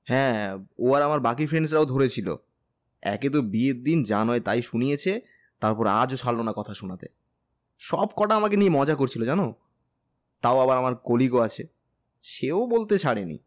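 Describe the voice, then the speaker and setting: A man's voice: medium pitch, expressive, normal speed, pauses often, close to an everyday voice. A man playing a tender, teasing young bridegroom in a romantic audio drama, speaking earnestly and persuasively. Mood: playful complaining. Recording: music bed under the voice.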